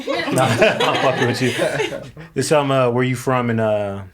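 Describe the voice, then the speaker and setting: Brief: relaxed male host, jokey, casual cursing, runs the panel. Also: medium pitch, moderate pace, a loud crowd. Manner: joking, laid-back, swears casually. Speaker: podcast host. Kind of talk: casual group chat.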